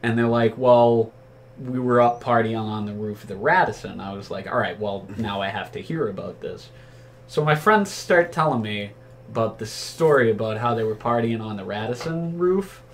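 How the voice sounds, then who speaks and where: A man's voice: fairly low pitch, moderate pace, slight background noise. A man telling casual anecdotes among friends in a rambling, profane, incredulous way. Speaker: storyteller.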